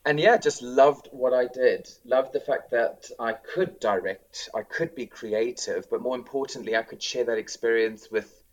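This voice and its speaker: A man's voice: medium pitch, normal speed, muffled recording. A man in his thirties with a South African accent, talking casually as an interview guest with many ums and restarts. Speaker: director of drama, guest.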